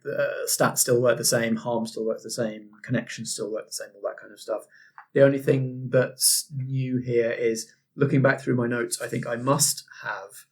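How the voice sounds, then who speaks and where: A man with a rough-edged, husky voice of average pitch talks as a game master. He explains things informally, in measured, halting stretches. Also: darkish tone. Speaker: game master and podcast guest. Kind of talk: casual explanatory chat.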